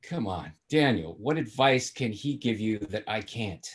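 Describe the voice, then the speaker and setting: A man's voice: on the low side, expressive, measured pace. A man playing a breezy, shameless womanizing father of a grown son in a sitcom table read. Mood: defensive. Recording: video call table read.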